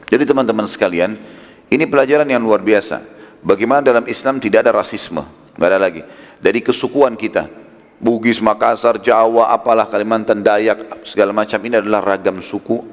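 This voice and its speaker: A man preaching a religious lecture, conversational and emphatic, with rhetorical questions and casual asides. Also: on the low side. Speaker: Islamic preacher. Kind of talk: religious lecture retelling prophetic history. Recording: long hall reverb.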